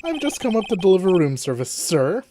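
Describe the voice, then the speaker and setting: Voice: mid-range voice, sing-song, fairly quick, background noise, lightly acted. A performer voicing a courteous, servile male hotel bellboy from a video game, formal and deferential.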